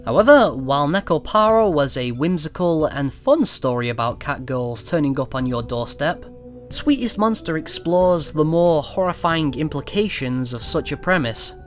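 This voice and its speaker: A man with a slightly high voice delivers a scripted game review. He speaks in a measured way and drops into short trailing asides. Faint music plays behind him. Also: animated. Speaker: video game reviewer, channel host.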